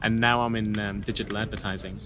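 A young man from Syria telling his life story casually in an interview, relaxed and quick to laugh, recorded on a phone. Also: lowish voice, a crowd in the background. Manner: relaxed, self-deprecating, laughs easily. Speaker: interview guest. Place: room with a piano. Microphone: iPhone recording. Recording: rough phone recording, loud piano behind. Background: loud piano music.

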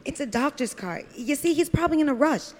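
A woman's voice: high-pitched, animated, fast, darkish tone. A woman playing an eager young waitress in an old-time radio play, wheedling her coworker. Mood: pleading. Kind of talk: 1940s radio play acting.

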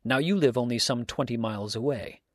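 A stern, righteous countryman, as a male audiobook narrator plays him, speaks in a close, dry sound at a medium pitch. His manner is unhurried and formal, weighing each phrase like a moral argument. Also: no room sound, close to an everyday voice. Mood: coolly reasoning. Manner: measured, formal, sermonizing. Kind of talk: audiobook character dialogue.

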